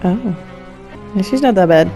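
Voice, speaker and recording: A woman's voice: medium pitch, lively intonation, measured pace. A woman doing casual, joking gameplay commentary in a wry, playfully dramatic way. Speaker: game streamer. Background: soft game music.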